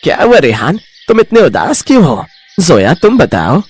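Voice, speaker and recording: Man voicing a high-pitched pious talking goat. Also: huge pitch swings, normal speed, slightly rough, heavily acted. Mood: concerned. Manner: earnest, preaching. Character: pious, gentle talking goat, devout and caring. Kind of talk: children's animated moral story acting.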